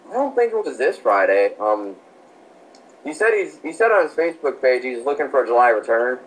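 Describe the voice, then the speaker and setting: A man co-hosting a podcast, giving quick, blunt, decisive opinions in casual chat. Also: mid-range voice, sing-song, rough recording. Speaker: podcast co-host.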